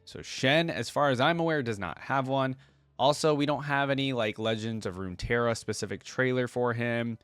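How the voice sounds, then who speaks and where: A man's voice: mid-range voice, moderate pace, fairly bright tone, clean tone, no room sound. A man hosting a reaction video, talking casually and informally as he thinks aloud.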